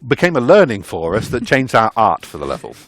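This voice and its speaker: A man's voice: medium pitch, huge pitch swings, fairly quick, fairly bright tone, no room sound. A man hosting a casual developer discussion, asking long conversational questions with enthusiastic phrasing. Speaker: host, interviewer.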